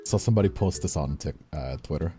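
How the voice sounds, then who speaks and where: A young man from Brazil chatting casually on a livestream, halting and repeating himself as he checks for agreement. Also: a bass voice, animated, dark tone. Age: young adult. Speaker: streamer. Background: background music.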